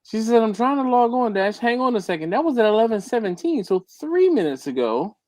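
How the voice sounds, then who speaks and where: A man's voice: high voice, big pitch swings, fairly quick, a little mellow, dry recording. A man hosts a casual live stream chat, reading out viewer comments and ribbing a friend. His voice moves up and down a lot. The sound is dry and close. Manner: chatty, teasing, reads viewer comments aloud. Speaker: livestream host.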